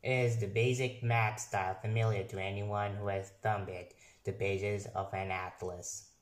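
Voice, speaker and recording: A young man, not a native English speaker, talks slowly over a screen recording and stumbles through passages he reads aloud, often backing up to fix a word. His voice sits fairly low. The sound carries a clear echo from the room around him. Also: little pitch movement. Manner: reads haltingly with restarts and corrections. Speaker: software reviewer. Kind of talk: casual screen-recorded review, reading aloud. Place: room at a computer.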